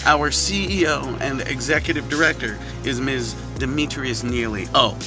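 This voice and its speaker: Man reading credits over prominent music. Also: medium pitch. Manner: measured, formal, with playful asides. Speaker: director and producer reading closing credits. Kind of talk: scripted credits narration.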